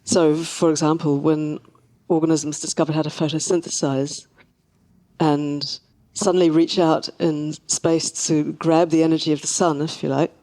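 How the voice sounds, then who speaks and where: A woman speaking as a scientist guest in an interview, explaining things in a measured way. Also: sits low, flat delivery, dark tone, rough recording.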